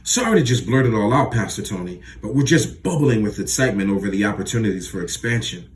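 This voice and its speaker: A man voicing an eager, out-of-breath male preacher who talks slick and gushes with excitement. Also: fairly low pitch, slight background noise, lightly acted. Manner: gushing, fast and pushy.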